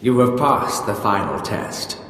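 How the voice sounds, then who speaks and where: An actor playing an ancient, proud Sith ghost in a video game, speaking in a measured, imperious and menacing way. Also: male voice, fairly low pitch, animated, fairly bright tone. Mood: solemn. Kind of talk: dramatic video game acting. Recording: cavernous long reverb, faint noise.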